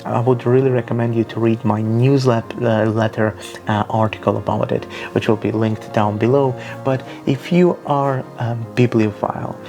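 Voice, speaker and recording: Young guy w talking books, gravelly low voice, lots of ums and restarts. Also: steady tone, moderate pace, dark tone, soft background music. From Armenia. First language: Russian. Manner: thoughtful, earnest, with frequent fillers and restarts. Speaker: book vlogger. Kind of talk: casual book recommendations.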